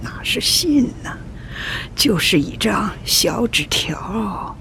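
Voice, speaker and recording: A woman playing a long-suffering old grandmother in a radio drama, recalling the past in a halting, sighing, wistful way. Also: medium pitch, big pitch swings, gravelly, whispered, full character voice. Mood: reminiscent, wistful. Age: older. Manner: halting, sighing, reminiscent delivery. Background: cello and string music.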